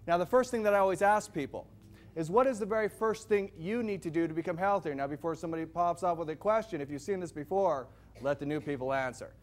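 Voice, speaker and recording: A man giving a loud, projecting sales presentation to a live audience, firing questions at the crowd. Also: a little high, quick pace. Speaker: product presenter.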